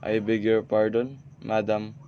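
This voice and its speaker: A man's voice: fairly low pitch, little pitch movement, a little slow, slight background noise, close to an everyday voice. A man playing a polite, formal telephone operator in an amateur radio play. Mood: polite, puzzled. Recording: dull, dry lo-fi audio.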